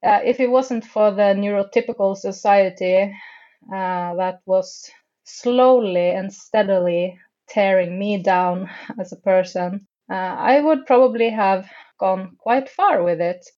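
Woman guest from northern Norway, slow, hesitant and self-mocking, muffled recording. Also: medium pitch, dark tone. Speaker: podcast guest. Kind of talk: casual interview chat.

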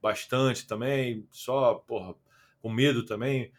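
A man's voice: mid-range voice, fairly even intonation, takes it slowly. A young man with a Carioca accent, a guest on a remote podcast call, talking casually and rambling with many fillers and restarts. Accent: Carioca Portuguese. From Rio de Janeiro, Brazil. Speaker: podcast guest, football content creator.

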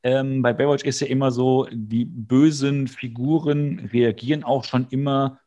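A man's voice: medium pitch, fairly even intonation, unhurried, dark tone. A man co-hosting a podcast, giving a casual, jokey recap with wry asides and bits of mimicry. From Hesse, Germany. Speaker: podcast co-host. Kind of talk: casual comedic episode recap.

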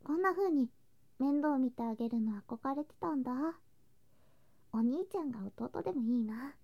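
A woman voicing a sweet, affectionate younger girl cousin in a Japanese ASMR situation drama, in a cutesy, clingy manner with soft whispery touches. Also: sits high, animated, moderate pace, lo-fi sound. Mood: doting.

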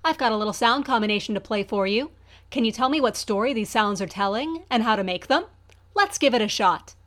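A woman's voice: fairly high pitch, expressive, brisk, fairly bright tone. A woman presenting a quiz segment as a guest, explaining step by step. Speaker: guest Foley artist.

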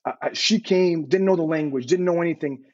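A man's voice: on the high side, a little fast, a little mellow, no room sound, lo-fi sound. A man in his thirties, born in Serbia, telling his story as an interview guest in an earnest way, stumbling and repeating words. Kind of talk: casual interview.

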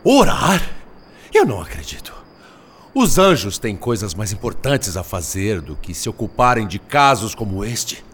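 A man's voice: lowish voice, big pitch swings, unhurried, a bit bright, a little hiss or hum. An actor playing a blunt, exasperated, skeptical man in a radio drama, sardonic and scornful. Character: skeptical, exasperated male spirit companion of the narrator.

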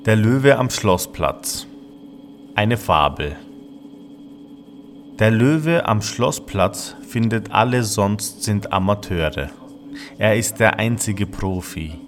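A man's voice: fairly low pitch, animated, slow, pauses often, soft background music. A man narrating a scripted fable as a storyteller.

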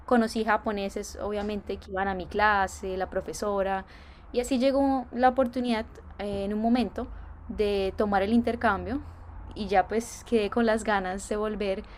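A young woman from Colombia with a Colombian accent, answering interview questions in a thoughtful way with many filler pauses. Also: mid-range voice, fairly even intonation, slight background noise. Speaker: interview guest.

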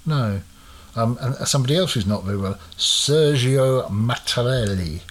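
A man's voice: mid-range voice, expressive, moderate pace. A man hosting a casual chat, quizzing his co-host with lots of hesitant fillers.

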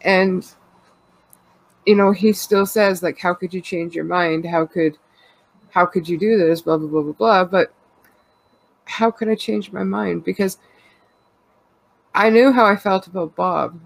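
A Canadian woman talks openly in a loose, rambling way, full of fillers and quick laughs. Her voice sounds stuffed up from a cold, with a gravelly fry running under it. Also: fairly low pitch, no echo, poor audio. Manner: rambling and candid, laughs often, many fillers. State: congested with a cold. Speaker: podcast co-host. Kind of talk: casual personal chat.

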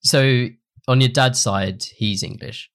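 A man hosting a casual podcast, asking relaxed, friendly questions and laughing along. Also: medium pitch, takes it slowly, vocal fry. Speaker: podcast host. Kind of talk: casual interview.

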